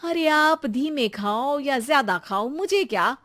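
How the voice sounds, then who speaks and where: A woman's voice: high-pitched, expressive, unhurried, fairly bright tone, voice pushed up. A woman voicing a brash, boastful, impatient young daughter-in-law in a children's cartoon story. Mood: teasing. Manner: teasing, bragging, impatient. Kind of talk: children's animated moral story.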